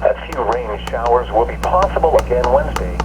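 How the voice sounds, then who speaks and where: A man's voice: mid-range voice, expressive, fairly quick. A man reading a scripted weather forecast as an announcer, heard through a small radio speaker. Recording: noisy weather radio speaker, re-recorded in room.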